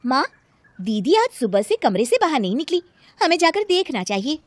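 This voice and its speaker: Woman as dutiful young daughter-in-law, cartoon, high-pitched, soft, weary, dry recording. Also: big pitch swings, moderate pace, no echo. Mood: concerned.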